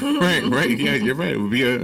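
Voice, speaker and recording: Animated male radio host, lively pitch swings. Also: brisk, gravelly. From northern United States. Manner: animated, exclaiming, asks many questions. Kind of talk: casual radio chat. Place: radio studio.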